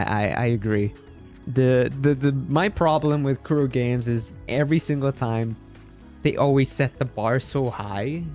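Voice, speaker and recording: Man, game streamer, slow halting delivery, casual and appreciative, faint music behind. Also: mid-range voice, a little mellow. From Philippines. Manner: halting, repeats words, appreciative and polite. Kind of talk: casual livestream chat with viewers.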